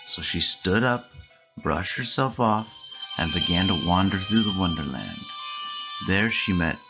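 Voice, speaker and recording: A storyteller reading a scripted children's story aloud in measured phrases with deliberate pauses. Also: low-pitched, expressive, muffled recording. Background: clear background music.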